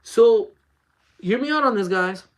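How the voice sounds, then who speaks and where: A man's voice: high voice, lively intonation, a little slow. A man in his forties hosting a casual faith talk for his channel, speaking in an earnest, repetitive, urging way. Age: 40s. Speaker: channel host.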